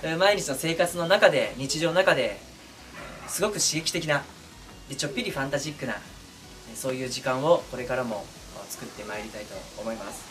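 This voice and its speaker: A man speaking as a streamer and voice actor, chatting casually and earnestly to his fans in an intimate, pausing way. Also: medium pitch. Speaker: voice actor and streamer addressing listeners. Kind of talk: casual, heartfelt chat to fans. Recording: distant mic, clearly noisy background.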